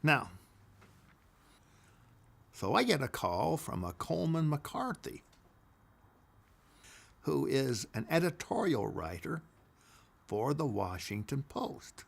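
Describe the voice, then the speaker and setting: An older man telling a personal story from his past, emphatic, swinging between loud declarations and hushed asides. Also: mid-range voice, very animated, slow, creaky, pauses often.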